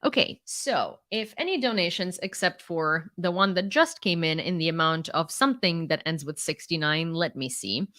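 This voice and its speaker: A woman's voice: fairly low pitch, expressive, normal speed, hardly any pauses, fairly bright tone, no echo. A young woman from Ukraine with an American accent, hosting a live stream, chatty with many fillers and frequent laughs, reading out news.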